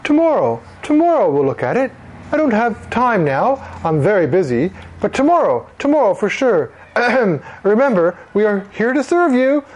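Man doing a high, pompous, evasive mayor. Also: very animated, normal speed. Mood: flustered, pompous. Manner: hesitant, then pompous. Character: self-important, evasive, busy town mayor.

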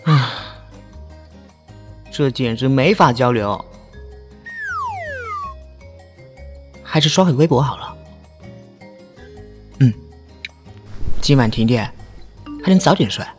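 A man's voice: mid-range voice, lively intonation, a little slow, long pauses, in a large hall, lightly acted. A man playing a flustered young college student in an audio drama, easily exasperated, with a hesitant, sighing delivery. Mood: exasperated, puzzled. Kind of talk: Chinese online audio drama acting. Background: faint music.

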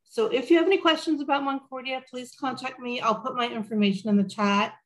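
A woman's voice: steady tone, fast talker, fairly bright tone. Casting: woman, chipper sales-style intro on a video call. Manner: upbeat, pitch-like delivery. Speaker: business development manager. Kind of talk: event introduction and company pitch.

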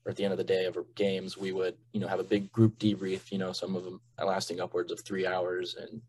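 A man's voice: sits low, monotone, brisk, a little mellow, husky. A young man, a college freshman and referee, answering interview questions in an easygoing way. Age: young adult. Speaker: referee guest.

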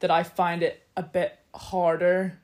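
A young woman chats casually as a co-host. Her voice sits slightly deep, and she talks hesitantly, circling and restarting as she works out what she means. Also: flat delivery, unhurried, a little mellow. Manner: hesitant, restarts often, thinking aloud. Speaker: podcast co-host. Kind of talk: casual chat.

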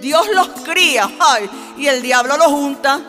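A woman's voice: high voice, expressive, unhurried, over music. A woman playing a bold, salty-tongued militant leader in a radio drama, with a Venezuelan accent and a feisty, commanding, colloquial way of talking. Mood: wry.

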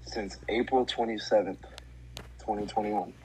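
A man's voice: fairly low pitch, steady tone, moderate pace, darkish tone, slightly rough, rough recording. A man from Georgia answering casual interview questions in a laid-back, joking way. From Decatur, Georgia. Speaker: interviewee, boyfriend.

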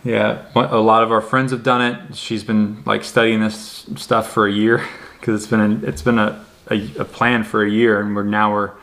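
A young man joins his partner's vlog, chatting in a relaxed, joking way. He adds quick asides and throws in playful comparisons. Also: on the low side. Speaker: vlog guest, partner of host. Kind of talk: casual couple vlog chat.

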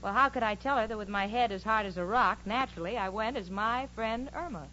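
A woman's voice: mid-range voice, brisk, lightly acted. An actress playing a sensible, exasperated young woman telling wry stories in a 1940s radio comedy. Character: exasperated, sensible young woman narrating her roommate's follies. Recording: dull, noisy old radio recording.

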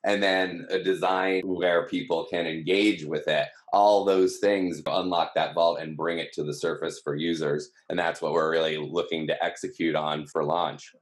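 A man's voice: low-pitched, fairly even intonation, moderate pace, few pauses. A man explaining things casually as a team member in a panel conversation, recorded with a roomy echo. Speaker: team member at an audio startup. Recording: roomy, echoing sound.

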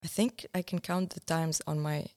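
A young woman whose voice sits a little low. She talks casually as a guest filmmaker, restarting sentences and hunting for the right word as she goes. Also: fairly even intonation, moderate pace, a little mellow. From Syria. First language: Arabic. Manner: searching for words, many restarts. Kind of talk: casual conversation.

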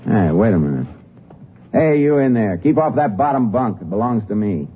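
A man's voice: lowish voice, big pitch swings, normal speed. An actor playing a surly, defiant jailed gunman in a 1950s radio drama, belligerent and snarling. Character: surly, defiant tough male gunman in jail. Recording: tinny, noisy old radio recording.

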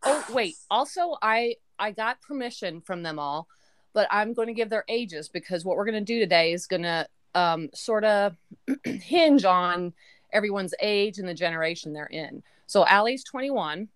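A woman in her forties hosting a casual family podcast, chatty and quick to laugh. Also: mid-range voice, expressive, no room sound. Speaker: podcast host. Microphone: remote call.

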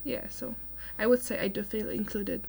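A woman's voice: medium pitch, steady tone, normal speed, vocal fry, a little mellow. Young woman, faint noise behind her. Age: young adult. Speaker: student panelist. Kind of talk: casual chat.

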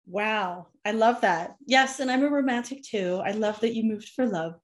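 A woman's voice: on the high side, moderate pace. A woman hosting a podcast, upbeat and encouraging as she introduces her guest and asks him questions. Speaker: podcast host. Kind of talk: podcast interview.

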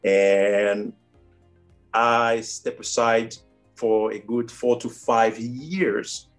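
A man's voice: mid-range voice, steady tone, very slow, soft background music. A man in his forties from Cameroon with a Cameroonian French accent, answering interview questions earnestly with frequent fillers. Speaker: airline first officer, guest.

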